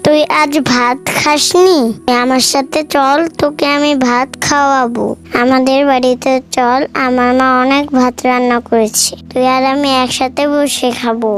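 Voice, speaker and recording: A woman's voice: high voice, fairly even intonation, a little slow. A woman voicing a kind young tiger cub boy in a children's cartoon, coaxing and solicitous. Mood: concerned, caring.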